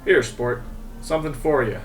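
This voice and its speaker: A man's voice: mid-range voice, moderate pace, soft background music, natural voice. A man playing a proud, mock-solemn father in an audio drama, jokey and solemn.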